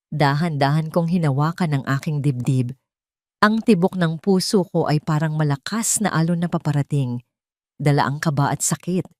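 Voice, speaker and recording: A woman's voice: low voice, darkish tone, no echo, studio quality. A woman narrating a scripted first-person drama story in an emotive, solemn manner.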